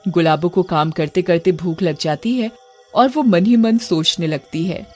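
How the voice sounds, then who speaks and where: Steady cartoon story narrator. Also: mid-range voice, unhurried, soft background music. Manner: steady, matter-of-fact telling.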